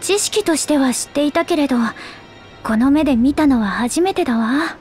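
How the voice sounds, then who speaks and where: Very high-voiced, composed little girl. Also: moderate pace, faint music behind. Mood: curious, thoughtful. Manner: calm, precise, reasoning. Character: tiny composed, clever childlike young girl scholar. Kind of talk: Japanese game voice acting.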